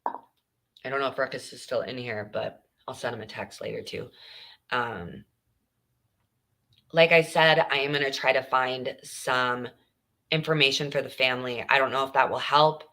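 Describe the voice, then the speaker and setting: A woman's voice: rumbling low, steady tone, moderate pace, pauses often, pushed and bright. A woman hosting a true crime livestream podcast, talking casually and earnestly with lots of ums. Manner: earnest, chatty, with many fillers.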